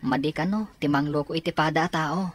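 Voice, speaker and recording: A woman's voice: deep voice, fairly quick, a little hiss or hum, natural voice. A woman with an Ilocano accent playing a caring, devoted young student in a radio drama. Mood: grateful, affectionate.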